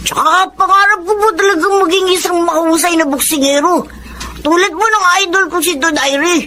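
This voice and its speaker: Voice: very high-pitched, fairly even intonation, a little fast, sharp tone, slight background noise. A performer playing an eager, respectful young boy in a Filipino radio drama, polite and deferential. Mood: respectful, reassuring.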